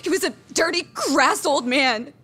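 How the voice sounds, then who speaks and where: A woman's voice: high-pitched, measured pace, pushed and bright, soft background music. An actress playing a blunt, wounded young woman in a video game, speaking frankly and with emotion. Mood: tearful, frustrated. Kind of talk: English game voice acting.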